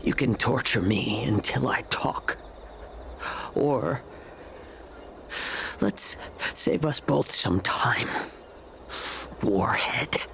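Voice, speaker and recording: Slow, guarded fox-man healer. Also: lowish voice, creaky, full character voice. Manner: measured, guarded, restrained. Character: composed, guarded male fox-man healer held captive. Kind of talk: video game drama dialogue.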